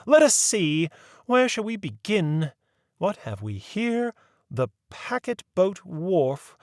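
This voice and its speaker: A man's voice: high-pitched, big pitch swings, slow, no echo, studio quality, voice pushed up, full character voice. A man reading aloud and voicing a fussy, genteel older woman with a prim, sweetly proper manner.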